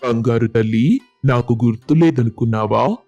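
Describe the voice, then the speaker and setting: Doting but hot-tempered village dad for a moral storybook, played by a male actor; emphatic, mid-pitched, close dry sound with faint music. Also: normal speed, a little mellow, dry recording, highs cut off, close to an everyday voice. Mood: fond, generous. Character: doting village father, quick to anger and suspicion. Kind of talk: acted moral storybook dialogue.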